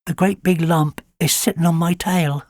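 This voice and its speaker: A man's voice: a little high, fairly even intonation, normal speed, darkish tone, no room sound, studio quality, voice pushed up, close to an everyday voice. A man voicing a small female fox who grumbles. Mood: grumbling.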